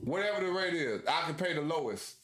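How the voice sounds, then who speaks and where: A man's voice: a little high, animated, fairly quick, a bit bright. A man co-hosting a podcast, arguing his point insistently in casual, profane talk. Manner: insistent, argumentative, profane, cuts in often. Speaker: podcast co-host. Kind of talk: heated casual debate. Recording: echoey room.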